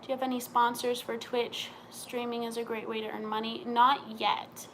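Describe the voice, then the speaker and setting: A young woman with a slightly high voice chats on a home live stream and answers viewer questions in a relaxed, friendly way. A faint hiss of room noise sits under her voice. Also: normal speed, rough recording. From Montana.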